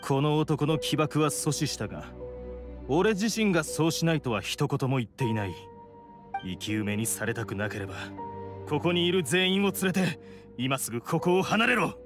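Guy playing stern young oni warrior, anime game, highish male voice, curt n intense, music behind. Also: expressive, moderate pace, close to an everyday voice. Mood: threatening. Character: stern, proud young blue oni man, self-sacrificing, desperate. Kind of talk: Japanese anime game drama acting. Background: dramatic game music.

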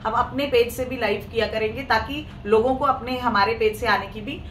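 A woman with a hoarse throat hosting a live clothing sale, chatting casually with viewers in Hindi mixed with English. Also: fairly high pitch, fairly even intonation, normal speed, fairly bright tone. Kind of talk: casual live-stream sales talk. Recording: echoey room, mic at a distance, faint noise.

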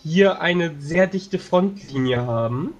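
A man playing a tabletop game, planning a battle while thinking aloud with many pauses and fillers. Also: a little high, animated, highs cut off, faint music behind. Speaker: tabletop player.

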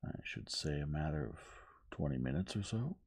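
Guy reading as slippery middle-aged gent in a mystery audiobook, low gravelly voice, folksy bluster, pitch jumps around. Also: animated, moderate pace, a little mellow, exaggerated voice. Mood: defensive. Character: smooth, evasive middle-aged gentleman protesting his innocence.

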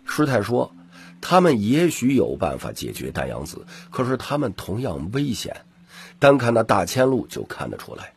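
Male audiobook narrator, very raspy, gravelly, lively intonation, dramatic storytelling. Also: fairly low pitch, normal speed. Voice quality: husky, gravelly.

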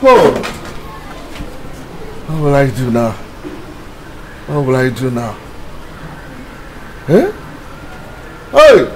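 A man's voice: mid-range voice, sing-song, drawn-out words, pauses often, background noise, heavily acted. An actor with a Nigerian accent playing an agitated, aggrieved middle-aged father in a film drama, given to repeated exclamations. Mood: outraged. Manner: repetitive, exclamatory outbursts. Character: agitated, aggrieved father raging over stolen money.

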